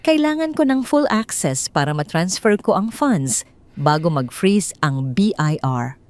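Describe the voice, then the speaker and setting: Low-voiced, calculating, coaxing wife, acted by a woman. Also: sing-song, measured pace, darkish tone. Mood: calm persuasion. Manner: persuasive, reassuring. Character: calm, calculating wife coaxing her husband.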